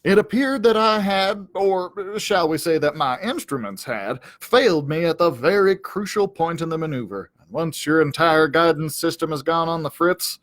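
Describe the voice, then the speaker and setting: A man's voice: on the high side, animated, moderate pace, few pauses, a bit bright, no echo. A man voicing a self-important, aggrieved cowdog who tells his storybook tale in a pompous, melodramatic, wordy way. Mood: dazed, defensive.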